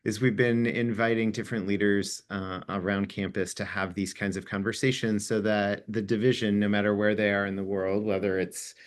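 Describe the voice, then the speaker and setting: Lowish-voiced man hosting. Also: level tone, moderate pace, hardly any pauses, a little hiss or hum. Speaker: host of an online staff town hall. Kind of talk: conversational hosting, interview questions.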